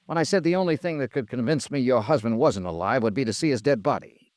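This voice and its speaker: An American actor playing a cool, accusing insurance investigator in a 1950s radio drama, with a clipped, deadpan delivery. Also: male voice, medium pitch, fast talker, no room sound, lightly acted. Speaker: lead actor and narrator. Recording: vintage radio drama, dry, music behind.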